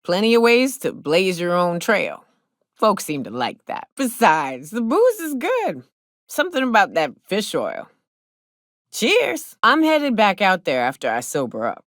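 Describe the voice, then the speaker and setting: An actress voicing a brash, hard-drinking big game hunter in a video game, with folksy, slangy, sardonic talk. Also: female voice, mid-range voice, wildly swooping pitch, measured pace, fairly bright tone, dry recording, clean studio sound. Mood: brash, tipsy. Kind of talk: video game character dialogue.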